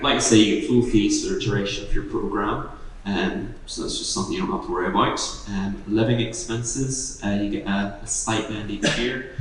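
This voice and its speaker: A man giving a relaxed, hesitant talk at an event, with many ums and self-deprecating asides. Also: lowish voice, a little hiss or hum. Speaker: university outreach speaker. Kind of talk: presentation at an event. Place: event room. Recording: echoey room, mic at a distance.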